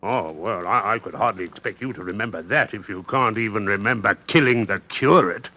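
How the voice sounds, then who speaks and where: A man playing a cool, sardonic captain in a radio mystery play, speaking in a dry, needling, deliberate way. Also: on the low side, bright, forceful tone. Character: cool, sardonic sea captain turning tables on accusers.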